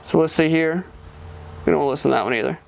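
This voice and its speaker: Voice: medium pitch, rapid-fire, gravelly. A person giving a casual, hands-on product demonstration, explaining informally step by step. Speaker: gadget reviewer.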